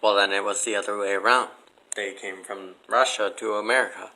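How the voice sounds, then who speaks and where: A man's voice: fairly low pitch, fairly even intonation, a little fast, bright, forceful tone, poor audio. A man chatting casually as an interview guest, with a blunt, skeptical way of talking. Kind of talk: casual chat.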